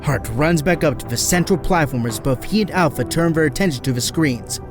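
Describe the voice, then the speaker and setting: Male narrator over clear music. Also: a little high, lively intonation, a little fast. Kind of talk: scripted dramatic narration.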